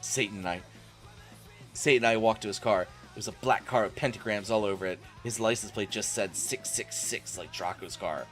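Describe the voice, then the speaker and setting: A man's voice: on the low side, normal speed, fairly bright tone. A man doing a comic dramatic reading as a streamer, with exasperated, incredulous asides. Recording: music playing behind the voice. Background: music.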